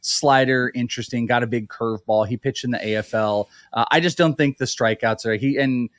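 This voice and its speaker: A man's voice: mid-range voice, dry recording. Teasing man, dry close sound. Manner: teasing, quick, stat-heavy analysis. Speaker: podcast co-host. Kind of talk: casual sports podcast chat.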